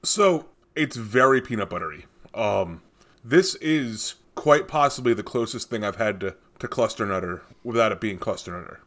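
A man's voice: on the low side, expressive, normal speed, a bit bright, faint music behind. A man co-hosting a beer podcast, chatting casually and rambling through his tasting notes. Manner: rambling, tangential, with many fillers and restarts. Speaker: podcast co-host reviewing beer. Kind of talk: casual chat.

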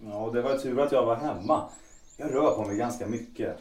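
An actor playing a proud, restless young composer and lover in a period audio drama, with a theatrical, moody, romantic delivery. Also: male voice, mid-range voice, a little mellow, natural voice. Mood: candid, casual. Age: young adult. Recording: roomy, distant from the mic.

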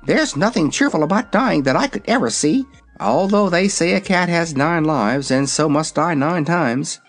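A man's voice: fairly high pitch, lively intonation, moderate pace, faint music behind, voice pushed up. A man voicing a pert, contrary talking kitten in a children's story. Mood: indignant. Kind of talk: storybook character voice.

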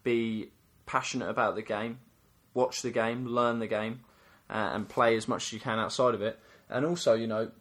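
A man's voice: medium pitch, normal speed, few pauses. A man who coaches youth soccer, co-hosting a casual chat, teasing his partner and then explaining things steadily. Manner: playful, teasing; explanatory, steady when giving advice.

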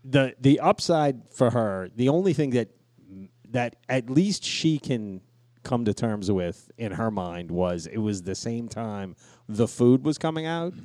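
A man's voice: mid-range voice, lively intonation, measured pace, a little mellow, no room sound. A man co-hosting a podcast, telling crude, swear-filled stories in casual banter. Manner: crude, profanity-laced. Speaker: podcast co-host.

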